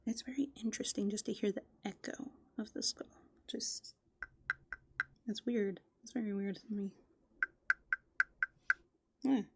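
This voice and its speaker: A soft-spoken woman doing rambling, playful ASMR as a raccoon, musing aloud and often slipping into whispers. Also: medium pitch, fairly even intonation, unhurried, gravelly, long pauses, a little hiss or hum. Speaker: ASMR host. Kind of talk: furry roleplay ASMR, improvised rambling.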